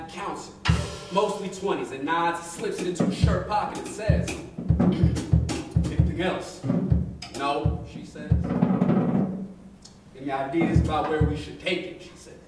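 Echoey male storyteller over loud percussion. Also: on the high side. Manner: expressive, colloquial, acting out dialogue. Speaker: story reader. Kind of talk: dramatic live reading of first-person fiction over percussion. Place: arts venue stage, live with musicians. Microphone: studio-style microphone on a stand. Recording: echoey live room, distant, loud percussion. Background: live drum and percussion music.

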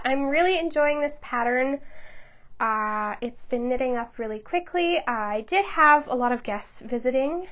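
A young woman with a high, bright-sounding pitch hosts her knitting show from a small home studio, her voice stuffy from a lingering cold. She chats fast to the camera, laughing and tripping over her words. The recording sounds a bit dull and muffled. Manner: chatty, quick, stumbles over words and laughs often. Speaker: knitting podcast host. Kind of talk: casual chat to camera. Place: small home studio room under studio lights.

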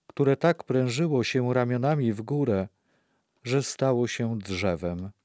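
A man plays an older churchman in a radio play, looking back with reverence. The delivery is slow and measured, with a soft mellow tone in a dry, close recording. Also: medium pitch, dry recording, lightly acted. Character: reminiscing Polish cardinal, reverent, reflective older priest. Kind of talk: radio play monologue, recollection.

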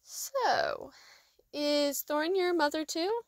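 A woman playing a curious, gentle young female dragon in an audio drama. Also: sits high, animated, very slow, dry recording, studio quality, full character voice.

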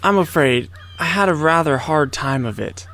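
Meek gardener, lively high voice. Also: male voice, normal speed. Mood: grateful. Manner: meek, eager, apologetic. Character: humble, kindly, naive little gardener. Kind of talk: theatrical audio drama acting.

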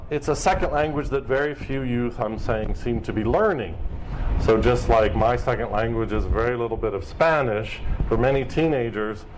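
Middle-aged male lecturer, mid-pitched, measured and explanatory; lecture hall, noise underneath. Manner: measured, explanatory, lists figures steadily. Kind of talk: academic lecture.

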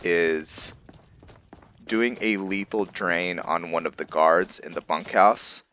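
A man's voice: low voice, takes it slowly, bright, forceful tone, clean tone. A man giving casual let's-play commentary as a gaming host, musing aloud as he thinks through his decisions. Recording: game music behind the voice. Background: video game music.

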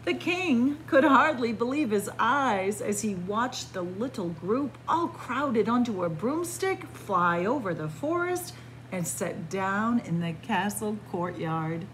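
A woman reading a picture book aloud as a library story time host, expressive and pausing deliberately between phrases. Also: medium pitch, slight background noise, rough recording. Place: indoors in a library, among bookshelves.

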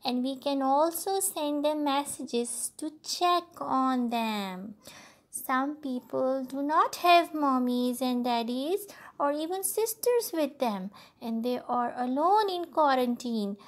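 A woman's voice: high voice, lively intonation, slow pace. A woman voicing a patient, caring older sister who explains and reassures in a storybook read-aloud. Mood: reassuring.

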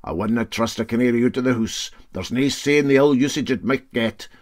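A man's voice: mid-range voice, fairly quick, lightly acted. A man voicing a sentimental, aggrieved Scottish riveter in broad plaintive dialect for a storybook. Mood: protective, plaintive.

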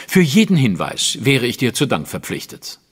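An actor playing a shrewd, self-assured gentleman detective in a German radio play, probing and dryly ironic with precise wording. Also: medium pitch, huge pitch swings, normal speed, husky, big-room sound.

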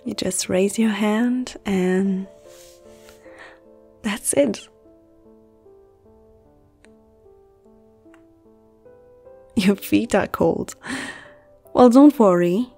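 A woman voicing a flirtatious, gentle female vampire noble in an intimate, teasing ASMR roleplay, soft and often close to a whisper. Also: medium pitch, drawn-out words, long pauses, soft background music. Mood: teasing, instructive.

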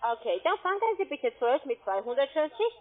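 A woman whose first language is not German, pitching an investment over the phone in halting, broken phrases. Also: very animated. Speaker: scam call center agent. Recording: tinny phone line.

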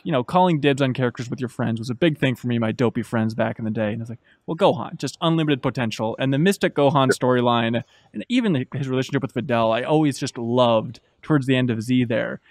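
A man in his thirties with a medium-pitched voice asks questions as a podcast host. He is fluent and engaged, chatting like a fan. The sound is close and very dry, from a studio microphone. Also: fast, few pauses, dry recording. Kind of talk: casual interview chat. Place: small podcast studio at a table. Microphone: close desk microphone.

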